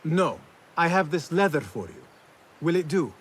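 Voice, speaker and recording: An actor playing an eager young assassin apprentice in a video game, respectful and slightly hesitant. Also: male voice, fairly high pitch, sing-song, moderate pace, a bit bright, a little hiss or hum. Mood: earnest, respectful. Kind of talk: dramatic video game acting.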